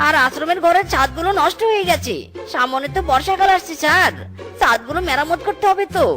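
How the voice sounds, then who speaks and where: A woman's voice: sits high, expressive, a bit bright, soft background music. A woman voicing a greedy, wheedling orphanage manager in a children's cartoon, pleading in repeated phrases. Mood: evasive. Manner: hurried, repetitive pleading.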